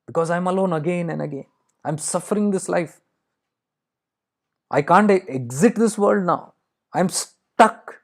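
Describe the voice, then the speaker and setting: A man's voice: fairly high pitch, normal speed, darkish tone, lightly acted. A man voicing an ancient immortal crow in an oral story, lonely and suffering, pleading insistently and repeating himself. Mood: anguished lament. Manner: insistent, lamenting, repeats phrases.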